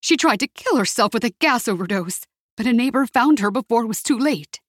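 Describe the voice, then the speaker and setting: A woman's voice: on the high side, expressive, fairly quick, no room sound, studio quality, pitched above the performer's own voice. A woman voicing an anxious older aunt from Mexico, with a trembling, pleading manner and a touch of disapproval. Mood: worried.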